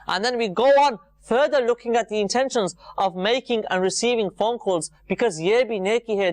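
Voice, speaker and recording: A man's voice: high-pitched, no echo. A man giving an informal, earnest religious talk to viewers, repeating phrases for emphasis. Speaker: religious programme presenter.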